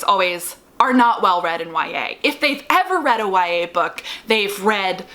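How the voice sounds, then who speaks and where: Female vlogger, high bright voice, conversational and opinionated explainer, leans hard on key words. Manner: emphatic, stresses key words by repeating and drawing them out. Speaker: vlogger giving advice on books and writing.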